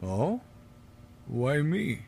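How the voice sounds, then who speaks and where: A man playing a guarded, learned university professor in an audio drama, speaking formally and with measured courtesy. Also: fairly high pitch, very animated, gravelly, darkish tone, slight background noise, full character voice. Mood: curious, guarded. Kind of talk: scripted audio drama acting.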